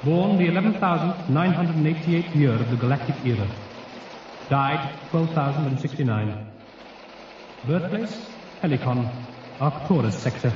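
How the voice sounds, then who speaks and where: An actor voicing a formal, measured encyclopedia narrator in a radio drama, with a heavy echo effect. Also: male voice, fairly high pitch, recorded from a distance. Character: solemn encyclopedia voice reading entries. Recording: echoing, dull, noisy radio drama recording.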